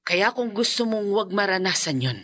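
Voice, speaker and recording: A woman's voice: mid-range voice, animated, fairly quick, a bit bright. An actress playing a grave older woman who survived a haunting, speaking in a solemn, warning way in a radio drama.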